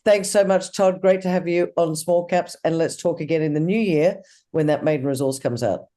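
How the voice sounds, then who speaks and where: Guy hosting a small-cap stocks interview, mellow voice going hoarse, upbeat. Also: a little high, normal speed. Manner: upbeat, promotional sign-off. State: losing voice. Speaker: interview host.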